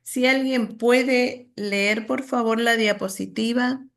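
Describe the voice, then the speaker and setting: A woman's voice: a little high, fairly even intonation, slow pace, vocal fry, a bit bright. A woman from Argentina, leading a workshop and telling a family story in a reflective, storytelling manner. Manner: reflective, storytelling, many "¿no?" tags. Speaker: workshop leader. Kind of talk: online workshop presentation.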